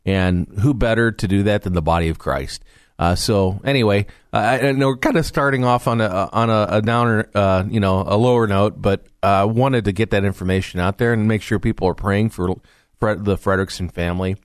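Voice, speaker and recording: A man's voice: lowish voice, brisk, smooth, no room sound. A man hosting a radio talk show from a studio, earnest and rambling with restarts.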